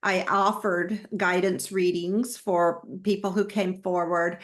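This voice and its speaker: A woman's voice: on the low side, little pitch movement, takes it slowly. A woman guest in a spiritual interview, speaking earnestly and searching for words as she shares her experiences. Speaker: interview guest, holistic health facilitator.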